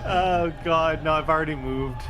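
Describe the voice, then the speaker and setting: A man's voice: a little high, normal speed, highs cut off. A man chatting casually as a tabletop game player, thinking aloud. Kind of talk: casual chat while playing. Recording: music under the voice. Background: music.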